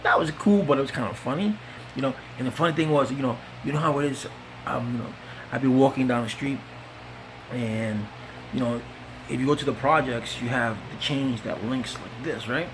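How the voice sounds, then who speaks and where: A man's voice: mid-range voice, moderate pace, noisy background. A man from the Bronx with a New York accent, telling stand-up stories in loose, profane street talk and acting out the people he quotes. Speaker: stand-up comedian. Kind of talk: stand-up storytelling.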